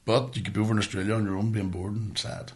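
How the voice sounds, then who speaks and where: A middle-aged Northern Irish man with a lowish voice talks casually as a podcast co-host. He is blunt and sweary, sliding easily into rants about ordinary domestic life. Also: little pitch movement, racing. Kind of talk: casual chat.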